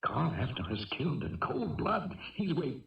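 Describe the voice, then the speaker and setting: A man's voice: fairly high pitch, sing-song, normal speed, gravelly. An actor playing an anxious, pleading family man held hostage in a 1970s radio drama. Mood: urgent, pleading. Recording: dull, echoey 1970s radio recording, distant.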